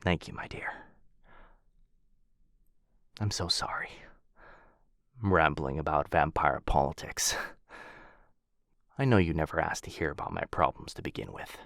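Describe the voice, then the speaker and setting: Casting: male actor as noble, melancholy vampire lord for ASMR roleplay; low register, soft formal delivery, protective, close and dry sound. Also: unhurried, pauses often, no room sound, full character voice. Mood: gentle, concerned. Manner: soft-spoken, formal, tender, with hushed breathy dips. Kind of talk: intimate boyfriend ASMR roleplay.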